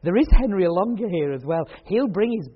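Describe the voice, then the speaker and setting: A man's voice: sits high, lively intonation, fairly quick, husky, muffled recording. A man hosting a church event, chatting and joking with the audience in a hall. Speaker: event host and speaker. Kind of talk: casual chat at a church event.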